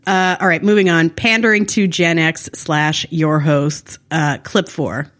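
Low-voiced, wry woman podcaster. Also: steady tone, measured pace, fairly bright tone. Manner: wry, snarky. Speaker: podcast co-host. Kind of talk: casual TV recap podcast chat.

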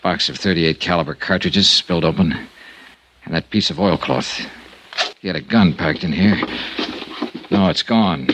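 Low-voiced, terse radio detective. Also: male voice, moderate pace, long pauses, a bit bright, slight background noise. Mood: brisk, urgent. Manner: clipped, terse, matter-of-fact. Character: tough, dogged American male private investigator, cool under threat. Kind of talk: 1950s radio detective acting with first-person narration. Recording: 1950s radio drama with music behind.

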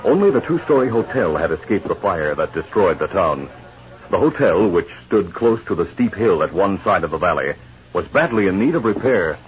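A man narrating a 1950s radio western in measured, formal storytelling style. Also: sits low, sing-song. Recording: dull old radio recording, music behind.

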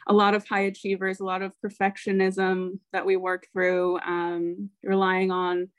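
A woman in her thirties with an American accent, a coach speaking as a podcast guest in a relaxed, candid way and laughing easily. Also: medium pitch, a little slow, smooth, no room sound. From United States. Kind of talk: interview conversation.

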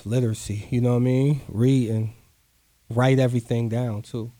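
A man's voice: mid-range voice, flat delivery, normal speed, dark tone, no echo. A man, talking earnestly in a casual interview, often repeating himself for emphasis. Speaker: guest artist.

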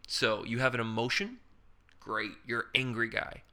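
A man with a very bright, crisp and smooth voice co-hosts a casual improv podcast. He walks through character-building ideas, tossing off quick hypothetical examples and rhetorical questions in an easy chatty flow. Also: mid-range voice, little pitch movement. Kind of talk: casual instructional chat.

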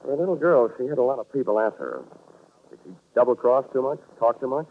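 A man's voice: medium pitch, expressive, quick pace. An American man playing a tough, sardonic private investigator in a 1940s radio drama, speaking in clipped, wry wisecracks. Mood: probing, steady. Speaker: lead actor and narrator. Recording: tinny, noisy old radio recording.

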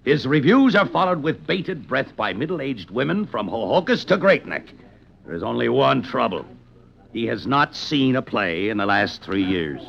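Gruff, blustering magazine boss, gravelly. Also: male voice, mid-range voice, sing-song, normal speed, a bit bright. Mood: sarcastic. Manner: blustering, sardonic. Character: gruff, exasperated magazine boss, sarcastic and domineering. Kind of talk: 1950s radio drama acting. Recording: muffled 1950s radio broadcast.

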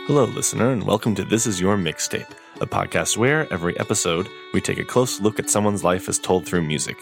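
A man hosting a music podcast, reading a scripted intro and then asking his guest questions in a friendly, measured way. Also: deep voice, vocal fry. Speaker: podcast host.

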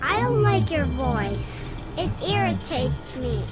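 Sadistic villainess for a drama scene, extremely slow, taunting and icy, music underneath. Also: female voice, sits high, huge pitch swings, heavily acted. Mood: cold irritation. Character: sadistic, playful female villain, coldly menacing.

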